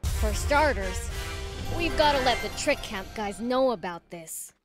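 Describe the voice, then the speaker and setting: A woman's voice: on the high side, expressive, measured pace, music in the background. A woman voicing a cocky young demon prince in a video game, casual and blunt. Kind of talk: cartoonish video game acting.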